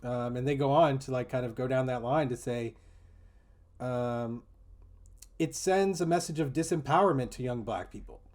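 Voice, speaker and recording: A man's voice: mid-range voice, normal speed, darkish tone. A man hosting a discussion, reading an article aloud and adding mocking, incredulous commentary.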